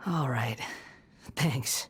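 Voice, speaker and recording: A woman voicing a guarded, melancholy young male wanderer in a video game, soft and subdued. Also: rumbling low, drawn-out words, slight background noise, exaggerated voice. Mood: wistful. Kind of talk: English game voice acting.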